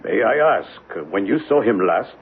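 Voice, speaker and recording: A man playing a grave, formal police inspector questioning deliberately, in old-time radio drama style. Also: lowish voice, expressive, slight background noise. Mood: probing. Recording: muffled phone-like old radio broadcast.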